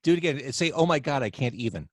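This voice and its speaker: Lively, jokey male podcast host. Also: mid-range voice, quick pace, no echo, clean studio sound. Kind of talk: casual video chat podcast. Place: at home in quarantine. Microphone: video call.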